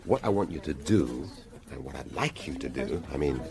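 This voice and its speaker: Very deep-voiced, brooding male detective. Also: lively intonation, moderate pace, creaky, a crowd in the background, exaggerated voice. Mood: keen, testy. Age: middle-aged. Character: gruff, inquisitive middle-aged male police detective convalescing in hospital. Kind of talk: radio drama acting.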